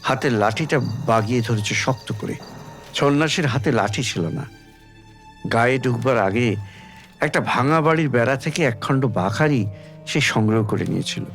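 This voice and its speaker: Brief: male story reader, dramatic and measured with lively intonation, faint music underneath. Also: mid-range voice. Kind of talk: dramatic literary audiobook narration.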